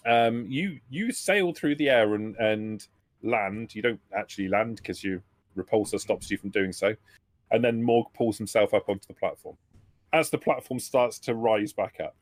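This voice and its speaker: A man's voice: lowish voice, normal speed, no echo. A man acting as game master, improvising narration and rulings for a tabletop roleplaying game.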